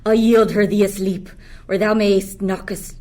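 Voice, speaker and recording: Resentful, dreamy monster servant played by a woman, medium pitch, plotting in Shakespearean radio play. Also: fairly even intonation, normal speed, lightly acted. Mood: scheming, resentful. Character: resentful enslaved island monster, scheming yet dreamy. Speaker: student actor.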